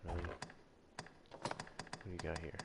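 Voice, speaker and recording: A man with a low voice gives casual commentary as he streams a game. His talk is offhand, full of mild slang. Clear noise from the game sits behind him. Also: completely flat delivery, slow pace. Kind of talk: casual live gameplay commentary.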